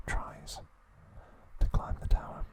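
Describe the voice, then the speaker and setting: Whispering man, slow ASMR narration. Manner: slow, deliberate, short phrases with pauses. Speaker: ASMR host.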